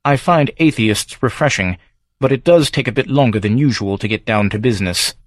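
A man reading the devil as a calm, amused stranger who speaks softly with quiet menace. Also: mid-range voice, fairly quick, dry recording, clean studio sound, natural voice. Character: smooth suave male devil, amused and knowing.

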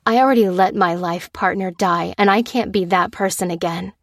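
A woman's voice: mid-range voice, normal speed, no echo, clean studio sound, close to an everyday voice. A woman voicing a fierce, determined female survivor in an audiobook, urgent and combative. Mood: insistent. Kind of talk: dramatised audiobook dialogue.

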